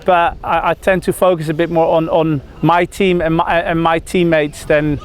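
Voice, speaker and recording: Cricketer from the Netherlands, thirties, a touch high-pitched, halting, full of ums and restarts, outdoor press scrum. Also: male voice, flat delivery, clean tone. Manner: hesitant, lots of fillers and restarts. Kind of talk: press interview.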